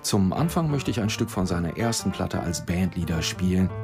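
Deep-voiced jazz radio announcer. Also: male voice, expressive, normal speed. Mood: calm, smooth. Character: composed male jazz radio presenter announcing records. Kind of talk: scripted radio announcement in a radio play. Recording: guitar music behind the voice. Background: music with plucked strings.

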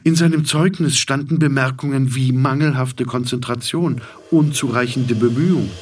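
A man's voice: mid-range voice, normal speed, recorded from a distance, natural voice. An actor playing a weary, detached middle-aged male patient, narrating his inner thoughts in a reflective, sardonic literary monologue. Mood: detached, musing.

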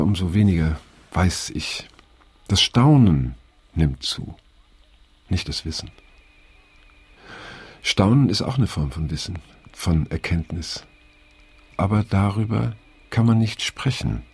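Deep, gravelly older man, pensive. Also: animated, a little slow, long pauses, exaggerated voice. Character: aging, pensive male narrator reading his diary. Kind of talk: radio play narration, reflective.

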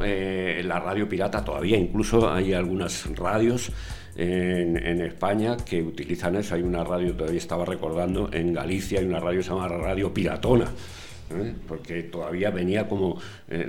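Older man with a very low voice. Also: darkish tone. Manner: unhurried, hesitant with many fillers. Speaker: interviewee, community radio veteran. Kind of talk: radio interview answers.

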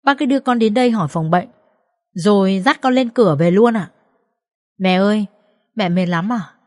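A woman's voice: medium pitch, normal speed, a little mellow, no room sound, clean studio sound, natural voice. A small, affectionate boy as a female narrator puts him on talks politely and innocently. He sounds worried and questioning, in a storybook dialogue style. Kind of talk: dramatised audio story dialogue.

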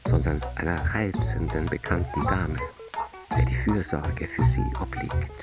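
A man acting as a weary, stingy aging bachelor in a dry, deliberate, ironic literary monologue. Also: sits low. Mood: dry, sardonic. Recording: loud music under the voice.

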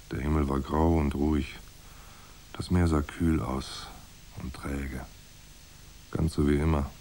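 A man's voice: rumbling low, warm tone, slight background noise. An actor playing a laconic private detective in a noir radio drama, terse and understated as he talks and narrates. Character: laconic hard-boiled male private detective narrating his case.

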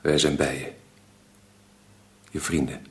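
An actor playing a calm, supportive discussion leader in a radio drama, speaking gently and reassuringly. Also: male voice, very deep voice, slow pace, heavily acted. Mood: gentle, encouraging.

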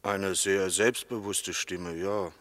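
A man acting a mild, careful witness in a 1960s German radio drama, speaking quietly and hesitantly in an echoing hall. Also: sits low, fairly even intonation, slow pace. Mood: careful, subdued. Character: mild, careful male chess club witness. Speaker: actor in a radio play.

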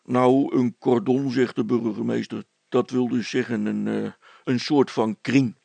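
A man's voice: medium pitch, steady tone, unhurried, gravelly, mellow, dry recording. A man voicing an obedient, plain-spoken village constable in a storybook reading, deferential and earnest. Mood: explaining.

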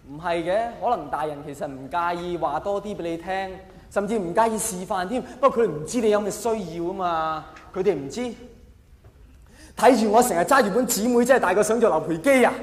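High-pitched Hong Kong man in an echoey hall. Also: fairly quick. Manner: theatrical storytelling with rhetorical questions. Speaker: stand-up comedian. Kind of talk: stand-up comedy monologue. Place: live on stage in a large hall. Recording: echoey hall with long reverb.

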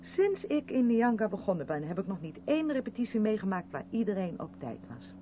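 An actress playing a gushing, over-polite woman in a radio drama, quick to defend herself and faintly condescending. Her voice swings lively up and down. It sounds thin like a phone line, with a loud engine hum behind it. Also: medium pitch, natural voice. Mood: flustered. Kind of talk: radio play dialogue in a moving car.

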